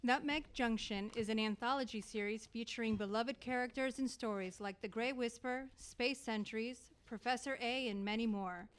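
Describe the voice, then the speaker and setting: A woman's voice: on the high side, normal speed, hardly any pauses, clear, resonant tone. A woman announcer reading a scripted introduction to an audio drama series. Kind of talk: scripted narration.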